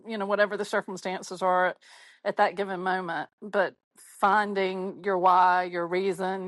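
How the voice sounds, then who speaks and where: A friendly, talkative woman with a Southern US drawl, speaking as a podcast guest. She laughs easily and keeps the conversation flowing, at a middle pitch. Her voice is close and dry, with no room sound. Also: dry recording. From Mississippi, US South. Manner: chatty, friendly, laughs often. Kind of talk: casual interview chat.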